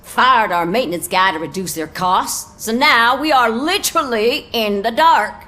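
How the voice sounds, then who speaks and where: An actress playing a sarcastic policewoman in a video game, griping in an animated, sardonic way. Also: fairly high pitch, normal speed. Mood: exasperated. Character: sarcastic, grumbling female beat cop. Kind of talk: scripted game dialogue.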